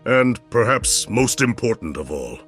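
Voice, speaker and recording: A man's voice: medium pitch, big pitch swings, a little slow, a bit bright, slightly rough, soft background music, exaggerated voice. A man voicing a smug, menacing villain leader in a comic dub, theatrical, mocking and grandiose. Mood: scheming. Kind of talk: comic fan dub voice acting.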